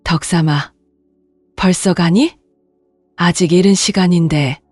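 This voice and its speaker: A woman plays an elderly village mother in an audio drama. She is tender and worried, very unhurried and lowish. The sound is dry, with faint music. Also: darkish tone, dry recording. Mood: surprised, concerned. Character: concerned, gentle old mother of a woodcutter. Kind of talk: Korean audio drama acting.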